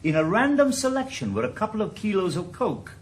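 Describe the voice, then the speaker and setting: Sardonic ex-copper for radio play, lively inflection. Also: male voice, sits high, brisk, slight background noise. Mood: theorizing. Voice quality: dry, sardonic. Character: retired ex-detective, wry middle-aged Welshman. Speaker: private investigator. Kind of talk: 1980s radio drama acting.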